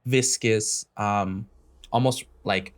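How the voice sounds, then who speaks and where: A man's voice: fairly low pitch, fairly even intonation, slow, clear, resonant tone. A man working as game master, improvising tabletop narration in a casual, conversational way.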